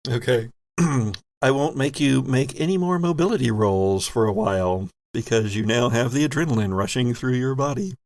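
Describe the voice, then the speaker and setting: A man's voice: medium pitch, lively intonation, moderate pace, a little mellow. A man acting as game master, improvising narration and rulings for a tabletop horror game in a playful, chatty way.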